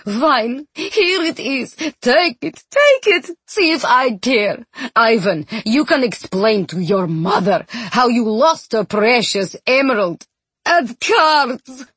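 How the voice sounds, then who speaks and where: A woman voicing a furious, imperious captain's wife in an audiobook, shrill and accusing, with drawn-out theatrical emphasis. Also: animated, a bit bright, breathy edge, no room sound, full character voice. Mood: raging. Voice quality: shrill, piercing. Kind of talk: audiobook character acting.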